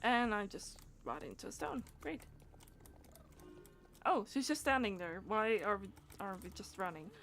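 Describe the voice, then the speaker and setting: A woman's voice: medium pitch, big pitch swings, a little slow, a bit bright, soft background music. A woman doing casual live game commentary, rambling and giggly as she reacts aloud to what happens on screen. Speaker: game streamer.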